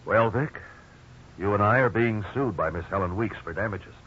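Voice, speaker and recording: Actor as a steady, low-voiced father, old radio. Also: normal speed, lightly acted. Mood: grave, resigned. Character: steady, reassuring father, worried but firm. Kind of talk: 1950s radio drama acting. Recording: dull, noisy old radio recording.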